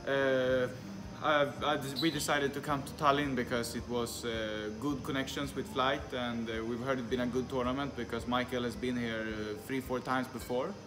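A young man from Sweden talks in a relaxed way about his tournament, pausing for fillers. Music plays clearly in the indoor lounge behind him. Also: medium pitch. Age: young adult. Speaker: squash player. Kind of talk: short player interview.

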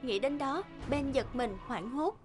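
A woman's voice: high-pitched, unhurried. A woman reading scripted fairy-tale narration as the narrator of a cartoon. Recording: music bed behind the voice. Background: background music.

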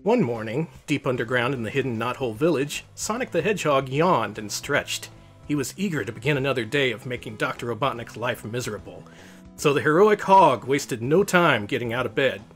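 A man's voice: mid-range voice, lively intonation, a little fast, fairly bright tone, faint music behind. A man reading a children's picture book aloud as host, in storybook narration.

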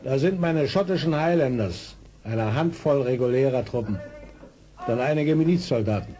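An actor playing a proud, beleaguered British fort colonel in a German audio drama, speaking in a formal, measured military manner. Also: male voice, mid-range voice, lively intonation, mellow, close to an everyday voice. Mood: grave, worried.

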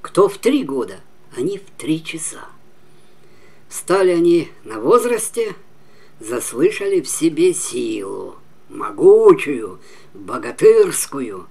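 A man in his seventies narrating a fairy tale expressively and emphatically, with dramatic pauses and drops to a near whisper. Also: on the high side, sing-song, gravelly, a little mellow, breathy edge. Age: 70s. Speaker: storyteller.

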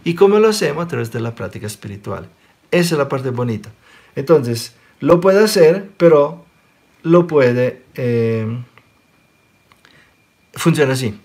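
A man in his forties from Sicily who speaks with an Italian accent, giving an animated spiritual talk in an online question-and-answer session and often checking in with listeners. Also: mid-range voice, measured pace, pauses often. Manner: animated, gestures a lot, checks in with listeners by asking "ok?". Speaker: spiritual teacher. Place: small room indoors. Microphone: webcam close up.

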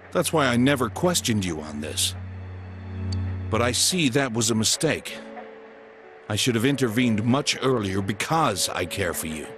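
An actor playing a stern, protective middle-aged detective father in a video game, firm and blunt, with an American accent. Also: on the low side. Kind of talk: English video game voice acting.

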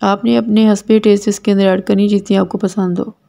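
A woman's voice: mid-range voice, level tone, a little fast, creaky, dark tone. A woman with a Pakistani Urdu accent, hosting a cooking channel and explaining a recipe step by step in a plain, repetitive instructional way. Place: home kitchen.

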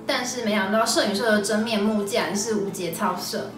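A woman's voice: medium pitch, a little fast, far from the mic. A woman in her twenties with a Taiwanese Mandarin accent presents scripted recommendations as a vlog host. She is a little away from the mic in an echoey room, with music playing behind her. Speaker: video host. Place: small indoor room. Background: background music.

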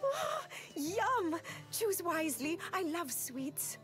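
Expressive high-voiced farm mother. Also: slow pace, exaggerated voice. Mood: flirtatious, delighted. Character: warm single-mother farmer, affectionate and flirtatious adult woman. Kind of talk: video game cutscene acting. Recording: music bed under the dialogue.

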